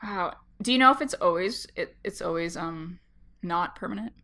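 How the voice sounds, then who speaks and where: A woman co-hosting a podcast while she has a cold, talking casually with vocal fry and laughing often. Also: fairly low pitch, normal speed, pushed and bright. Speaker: podcast co-host. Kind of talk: casual chat.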